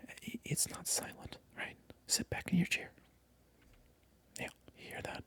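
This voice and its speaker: A man's voice: unhurried, fairly bright tone. A man whispering through a comedic ASMR role-play, mock-indignant and repeating his phrases over and over. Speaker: ASMR creator.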